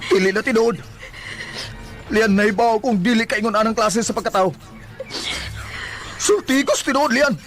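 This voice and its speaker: A man's voice: very high voice, normal speed, long pauses, a bit bright, soft background music. A man with a Cebuano accent playing a jilted, hot-tempered young lover pleading melodramatically in a radio drama. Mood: anguished, angry. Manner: melodramatic, emphatic. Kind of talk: Bisaya radio drama acting.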